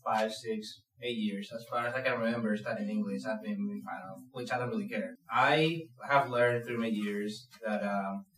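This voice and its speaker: A man's voice: medium pitch, normal speed, hardly any pauses, a bit bright. A man from Spain with a Spanish accent, speaking earnestly as a student in a classroom discussion. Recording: echoey classroom from far off.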